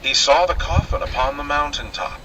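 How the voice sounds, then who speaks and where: A man's voice: a little high, lively intonation, moderate pace, sharp tone, a little hiss or hum, low-quality recording. A man narrating a scripted fairy tale in a dramatic, old-fashioned storytelling manner.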